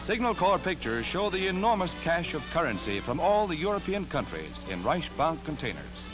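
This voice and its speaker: Dramatic 1940s newsreel man, animated American voice. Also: fairly high pitch, normal speed, rough recording. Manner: dramatic, declamatory. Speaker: newsreel narrator. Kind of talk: 1940s newsreel narration, scripted. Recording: archival 1940s newsreel soundtrack. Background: loud orchestral newsreel music.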